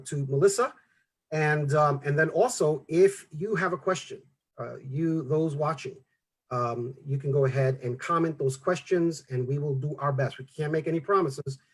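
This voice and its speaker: A man who is a reverend hosts a live online interview and introduces his guest. He talks informally, with plenty of fillers and restarts. His voice sits at an ordinary middle pitch for a man. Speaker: host, reverend.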